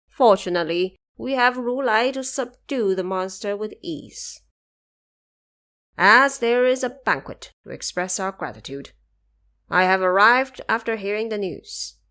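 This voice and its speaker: A woman voicing an elderly, courteous male god of longevity in an audio drama, with a measured, ceremonious manner. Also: medium pitch, pushed and bright, exaggerated voice. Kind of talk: audio drama character acting.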